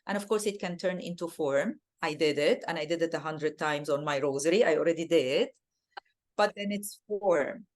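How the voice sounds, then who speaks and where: A woman from Egypt with an Egyptian Arabic accent, a native Arabic speaker, answering interview questions in a soft, reflective way and often repeating words as she searches for them. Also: sits low, normal speed. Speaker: guest.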